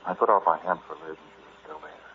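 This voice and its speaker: A muffled vintage radio horror recording with faint noise. an earnest explorer with a very low voice. He tells his story in a brooding, halting, dramatic way. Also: steady tone. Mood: hushed, tense. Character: earnest male explorer recounting a dreadful jungle discovery. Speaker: narrator and lead character. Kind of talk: old-time radio horror drama acting.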